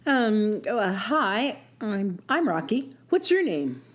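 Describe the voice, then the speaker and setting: A woman plays a sweet young teacher in a radio story. Her mid-pitched voice moves up and down expressively. She comes across as practical and in love. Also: sing-song, slow. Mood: polite, hesitant.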